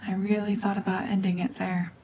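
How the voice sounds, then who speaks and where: A woman voicing a cold, mean renegade gorgon witch in a hushed, half-whispered ASMR drama, domineering and sardonic. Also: medium pitch, little pitch movement, brisk, slight background noise, close to an everyday voice. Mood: bitter sarcasm.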